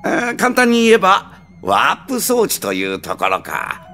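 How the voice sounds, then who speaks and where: A male actor playing a pompous, excitable alien spaceship captain in an anime audio drama, with grandiose, old-fashioned speech. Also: high-pitched, normal speed, faint music behind, exaggerated voice. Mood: smooth, proposing.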